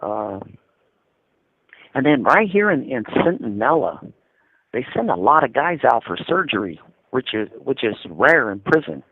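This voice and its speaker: A man's voice: medium pitch, sing-song, measured pace, creaky, pauses often, slight background noise. American man in his fifties, phone line. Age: 50s. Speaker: incarcerated interviewee calling from prison. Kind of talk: casual phone interview, recounting experiences. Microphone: prison telephone. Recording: tinny narrow-band telephone line.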